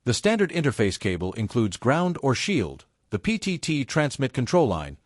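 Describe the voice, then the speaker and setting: Guy doing a how-to voiceover, methodical, pitch moves around a lot, super dry recording. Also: no room sound. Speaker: tutorial presenter. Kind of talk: scripted step-by-step tutorial narration.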